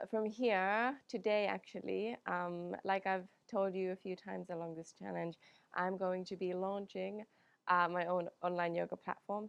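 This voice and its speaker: Calm gravelly-voiced yoga teacher, a woman. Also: medium pitch, no room sound, highs cut off. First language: Finnish. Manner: calm, unhurried, many "um"s. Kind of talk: guided yoga class instruction.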